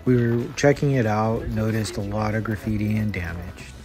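A man's voice: fairly low pitch, moderate pace, music in the background. An American man in his thirties talking casually as he narrates a travel vlog. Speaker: vlogger.

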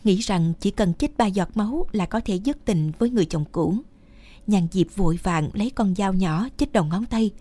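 A woman's voice: medium pitch, lively intonation, moderate pace, mellow. A woman reading scripted fairy-tale narration as a storyteller. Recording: music behind the voice. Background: music.

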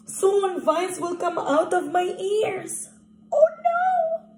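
A woman voicing an excitable, fretful crocodile from a picture book, with dramatic, drawn-out exclamations. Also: very high-pitched, slight background noise, voice pushed up, exaggerated voice. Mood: panicked. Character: excitable, fretful watermelon-loving young male crocodile. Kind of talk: picture-book character reading. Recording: echoey room recording.

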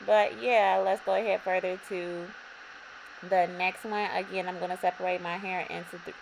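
A young woman with a slightly raspy voice, calmly talking viewers through a hair braiding tutorial in her bedroom. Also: lowish voice, normal speed. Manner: relaxed, repetitive instructions addressing viewers. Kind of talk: step-by-step tutorial narration. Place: bedroom, indoors. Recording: audible background noise.

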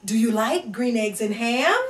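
A woman's voice: on the high side, animated, measured pace, pitched below the performer's own voice. A woman voicing a cheerful, persistent storybook pest who coaxes and keeps asking questions. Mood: coaxing. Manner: coaxing, questioning. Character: persistent cheerful pest pushing food on someone. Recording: echoey room, distant microphone.

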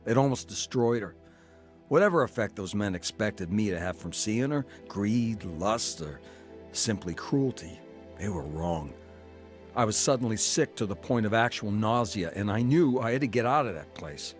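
A man's voice: lowish voice, expressive, normal speed, soft background music, close to an everyday voice. An actor playing a troubled man, earnest and reflective, in a scripted first-person radio drama. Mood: reflective, grave. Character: earnest, troubled man recounting his own past.

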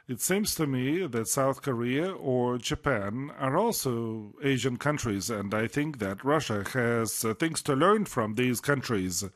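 A man interpreting a formal speech live, delivering it in halting phrases with frequent hesitations. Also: mid-range voice, animated, a bit bright. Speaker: simultaneous interpreter.

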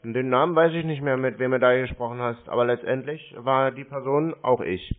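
A man's voice: medium pitch, fairly quick. A man chatting casually and laconically, with blunt, offhand answers. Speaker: scam baiter taking calls. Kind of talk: casual phone conversation. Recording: muffled tinny phone-like audio.

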